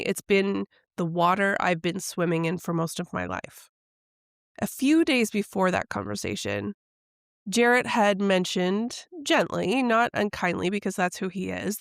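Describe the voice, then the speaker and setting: A middle-aged woman hosting a podcast, telling a personal story in a soft, reflective, confessional way, her voice still recovering from a head cold. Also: medium pitch, no room sound, studio quality. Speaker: podcast host and intuitive coach.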